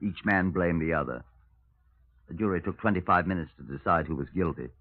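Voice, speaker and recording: An actor playing a stern, formal police detective in a 1950s radio drama. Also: male voice, low voice, fairly quick. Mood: grave, factual. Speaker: narrator and detective. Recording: tinny narrow-band 1950s radio.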